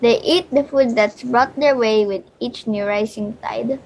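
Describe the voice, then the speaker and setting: A woman's voice: a little high, a little slow, vocal fry, a little mellow. A female reader reading a rhyming children's picture book aloud.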